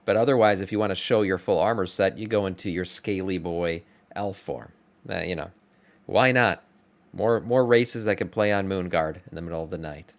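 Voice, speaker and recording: A man's voice: low-pitched, moderate pace. A man in his thirties giving off-the-cuff, informal reaction commentary as a streamer, musing aloud. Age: 30s. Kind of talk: casual live reaction commentary.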